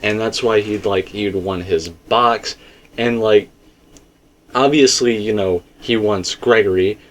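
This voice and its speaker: A man with a fairly low voice leads a casual, speculative discussion as host. He rambles in stop-and-start phrases, often circling back to correct or restate himself. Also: takes it slowly. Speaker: host of a game theory discussion.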